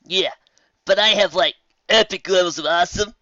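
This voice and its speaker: A reader voicing a brash, boastful young mare DJ who talks loudly in slang. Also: medium pitch, normal speed, dry recording, highs cut off, voice pushed up. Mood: cocky.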